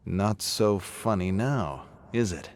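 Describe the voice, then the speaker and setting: A man voicing a sadistic, coldly mocking killer in a horror story, often slipping into a slow, cruel whisper. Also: low voice, lively intonation, exaggerated voice. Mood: cold menace. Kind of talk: audio horror story character.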